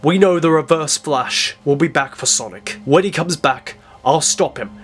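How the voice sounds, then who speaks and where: A man's voice: fairly high pitch, moderate pace, fairly bright tone, close to an everyday voice. A man voicing an earnest, betrayed young superhero, intense and emotional, in an audio drama. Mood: resolute. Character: earnest, betrayed young male speedster hero.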